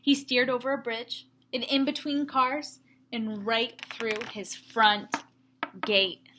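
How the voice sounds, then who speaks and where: A woman teacher reading a story aloud to young children, with expressive emphasis and explanatory asides. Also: fairly high pitch, slow pace, a little hiss or hum.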